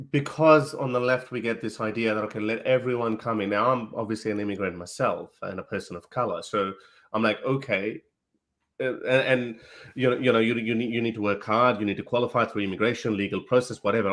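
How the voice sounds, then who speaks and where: A man's voice: mid-range voice, brisk, a bit bright. A man, hosting an interview and asking earnest, probing questions. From Pakistan. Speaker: host.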